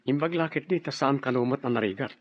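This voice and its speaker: A man's voice: medium pitch, fast talker, lo-fi sound, lightly acted. An Ilocano-accented man playing an easygoing family man in a radio drama. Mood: breezy.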